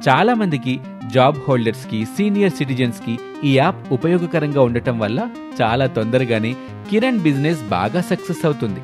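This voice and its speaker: A man's voice: medium pitch, sing-song, moderate pace, music in the background. A man narrating a scripted moral story as a storyteller.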